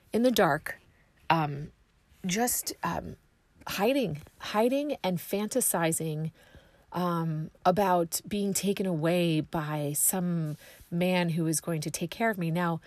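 A woman with a raspy, hoarse voice from a cold, leading a prayer and then sharing her personal testimony softly and hesitantly. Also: fairly low pitch, no echo. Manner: soft, hesitant, full of ums and restarts.

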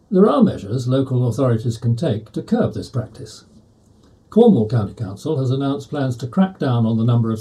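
A man's voice: mid-range voice, warm tone, low-quality recording. A man reading an opinion article aloud for an audio edition. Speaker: volunteer article reader.

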